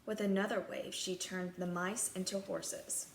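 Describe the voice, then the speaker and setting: A woman's voice: mid-range voice, level tone, unhurried. A woman narrating a scripted children's fairy tale in the first person, in character as a princess. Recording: echoey room, clear background noise.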